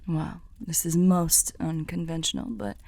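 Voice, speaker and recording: A seductive, courtly demoness, played by a woman in an ASMR roleplay speaks very slowly in soft half-whispers. Her voice is low and creaky, tender and a little world-weary. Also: dry recording. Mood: earnest, intrigued. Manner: slow, soft, breathy half-whispered formal speech.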